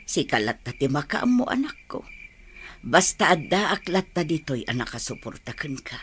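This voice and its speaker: Caring mother, radio drama, very animated melody, tender, faint background noise. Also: low voice, moderate pace. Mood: tender concern. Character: loving, caring mother of a grown son. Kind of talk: radio soap acting.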